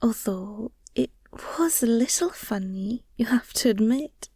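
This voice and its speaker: Woman doing a high voiced werewolf girlfriend for audio rp, slow n tender, teasing, protective, slips into whispers. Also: expressive, mellow, breathy edge, dry recording, studio quality, heavily acted. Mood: teasing, affectionate. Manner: tender, teasing, murmured, often drops to whispers. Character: affectionate, playful, protective young werewolf girlfriend. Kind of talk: intimate girlfriend audio roleplay.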